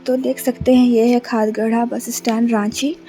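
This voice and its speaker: A young woman from Jharkhand talks softly and flatly into her phone, with many hesitations. Her voice is mellow, a little raised in pitch, and a bit rough from a sore throat. Faint music plays underneath. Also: moderate pace. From Jharkhand, India. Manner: soft, subdued, many hesitations. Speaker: vlogger. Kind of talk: casual vlog narration. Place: bus stand, then a relative's flat.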